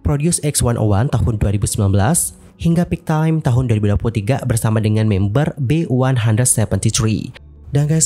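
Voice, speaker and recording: Indonesian-accented man in his 20s, low mellow voice, chatty celebrity news vlogger. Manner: chatty, addresses viewers as guys. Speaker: K-pop news YouTuber. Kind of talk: casual news vlog narration.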